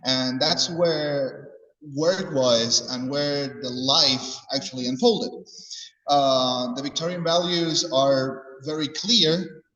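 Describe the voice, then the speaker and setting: A man's voice: medium pitch, steady tone, takes it slowly, fairly bright tone, highs cut off. Guy presenting to the class, sounds distant and roomy. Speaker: teacher trainee presenting group work. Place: large classroom or hall. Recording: echoey hall from far off.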